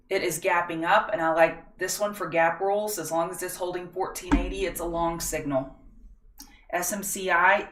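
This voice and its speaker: A middle-aged woman with a drawl, walking viewers through stock charts in a chatty, folksy way. Her voice sits fairly low, and it comes through a roomy, echoing space with the mic set some distance away. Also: a bit bright. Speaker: stock market livestream host. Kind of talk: casual market analysis livestream.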